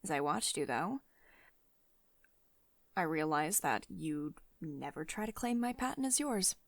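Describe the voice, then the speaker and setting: A woman's voice: low-pitched, animated, measured pace, no room sound. A woman acting a devoted lady CEO, close and dry. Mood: earnest, confiding. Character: commanding, protective female tech CEO, secretly devoted and tender. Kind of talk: ASMR girlfriend roleplay, intimate acted monologue.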